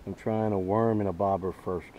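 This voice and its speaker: A man with a fairly low, mellow voice chats casually as he fishes from a small boat. He talks in a folksy way to himself and to viewers. Faint boat and water noise sits behind him in the open air. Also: steady tone, a little fast. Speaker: fishing vlogger. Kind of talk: casual running commentary while fishing. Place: outdoors on a small boat on a lake.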